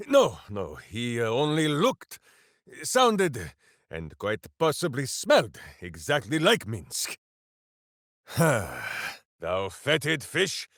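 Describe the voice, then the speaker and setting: A big, simple-souled heroic berserker from a fantasy video game. He is bombastic and good-hearted, with slow, deliberate phrasing that rises and falls dramatically. The recording is close and dry. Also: medium pitch, husky, no room sound, exaggerated voice. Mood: earnest, boastful, then battle fury. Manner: bombastic, earnest, talks of himself in third person.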